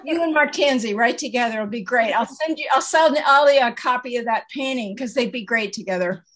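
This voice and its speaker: A woman's voice: on the high side, brisk, a bit bright, rough recording. A woman in her sixties, a writer chatting effusively and emphatically from her home study on a video call. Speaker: audience member, fellow writer. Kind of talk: casual video-call chat. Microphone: video call computer mic.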